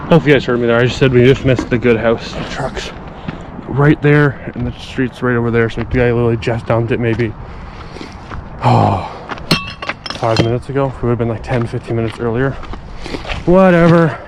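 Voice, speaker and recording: Male vlogger, mid-pitched, slightly sniffly, relaxed casual delivery, recorded outdoors with noticeable ambient traffic noise. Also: moderate pace, pauses often. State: sniffly with a runny nose. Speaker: vlogger collecting bottles and scrap. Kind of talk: casual outdoor vlog chat. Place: outdoors on residential streets. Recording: clear outdoor street and vehicle noise.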